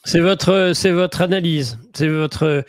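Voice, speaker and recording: A man's voice: a little high, slow pace, no echo. A man interviewing a guest on a talk show, prompting him with short interjections.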